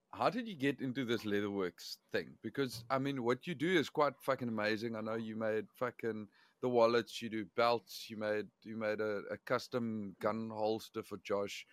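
Man, podcast host, low pitch, dry close sound, swears a lot. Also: no room sound. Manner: swearing, teasing. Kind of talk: casual chat.

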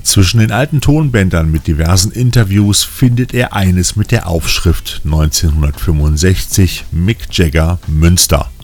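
A man's voice: deep voice, normal speed, hardly any pauses, a little mellow. A man hosting a film news podcast, reading scripted previews of new releases. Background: faint music.

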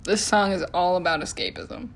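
A woman co-hosting a casual chat, reflecting out loud and filling pauses with "like" and "um.". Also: lowish voice, big pitch swings, vocal fry. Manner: reflective, heavy use of "like" and "um". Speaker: podcast co-host.

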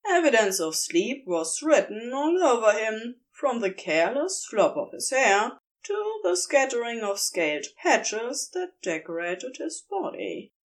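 A woman reading a piece of fan fiction aloud, narrating in an unhurried, expressive storytelling manner. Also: on the high side. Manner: slow, expressive storytelling with lively intonation. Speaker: podfic reader.

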